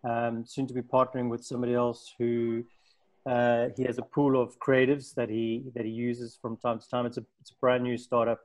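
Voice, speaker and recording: A weary man from South Africa speaks over a video call with a flat, even delivery. He pauses often, fills gaps with ums and restarts his sentences. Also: medium pitch. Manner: halting, tired, many ums. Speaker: designer in a video call group. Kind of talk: casual chat.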